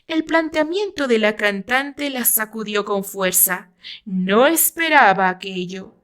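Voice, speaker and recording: A woman's voice: fairly high pitch, lively intonation, takes it slowly, a bit bright. A woman with a Mexican accent reading her own novel aloud as dramatic narration.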